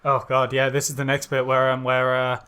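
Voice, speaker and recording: A man co-hosting a podcast, talking casually and jokingly about films, halting and repeating himself with a sardonic, agreeable manner. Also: medium pitch, completely flat delivery, bright, forceful tone, smooth. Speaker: podcast co-host. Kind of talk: casual comedic film critique chat.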